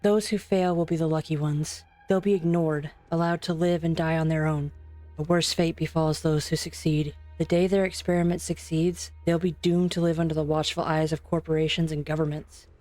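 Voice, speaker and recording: A woman playing a grave, principled scientist with quiet anger in an amateur game fan dub. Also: deep voice, little pitch movement, moderate pace, faint music behind, natural voice. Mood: grave, warning.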